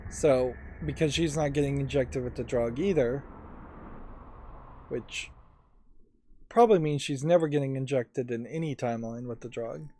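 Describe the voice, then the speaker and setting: Mellow gaming commentator, musing aloud. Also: medium pitch, a little hiss or hum. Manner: halting, musing analysis. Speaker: let's player commentating on a video game. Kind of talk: casual gameplay commentary. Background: game audio and music.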